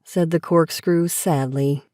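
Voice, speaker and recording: A woman's voice: low voice, fairly even intonation, slow, warm tone, no room sound, clean studio sound. A woman reading scripted audiobook narration as the narrator of a story.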